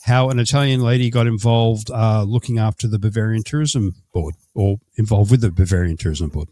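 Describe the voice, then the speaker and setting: A man's voice: lowish voice, a little fast, mellow, no echo. A man hosting a travel podcast, asking chatty questions and often correcting himself as he goes. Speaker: podcast host. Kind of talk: casual interview.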